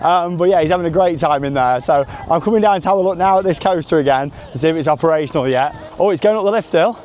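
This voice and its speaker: A man's voice: a little high, fast talker, a little mellow. A man vlogging casually as he walks around outdoors, chatty and enthusiastic in a rambling running commentary. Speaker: theme park vlogger and host. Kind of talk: casual vlog narration to camera. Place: outdoors at a theme park, walking around.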